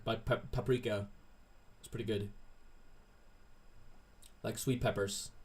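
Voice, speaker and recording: Casual man hosting a livestream. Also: mid-range voice. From Sweden. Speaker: livestream host answering chat questions. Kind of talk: casual livestream chat, reading and answering viewer questions.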